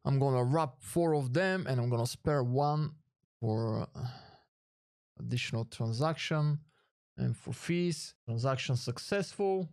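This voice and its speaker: A man's voice: medium pitch, unhurried, darkish tone, dry recording, clean studio sound. A man hosting a casual step-by-step screen tutorial, thinking aloud with some hesitation as he demonstrates. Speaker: tutorial host.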